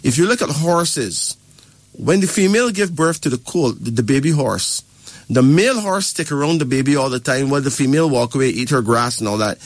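A man's voice: medium pitch, expressive, brisk, no echo. Lecturing man, dry sound. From Barbados. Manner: lecturing, keeps checking with "right?" and "okay?". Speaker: spiritual advisor. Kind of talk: casual advice talk.

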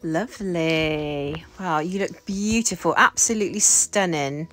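A woman's voice: on the low side, a little slow, fairly bright tone. Friendly, chatty hairdresser played by a woman. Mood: gushing, friendly. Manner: gushing, reassuring, chatty with clients. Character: friendly chatty female doll hairdresser, salon owner. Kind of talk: improvised doll story role-play. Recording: music behind the voice.

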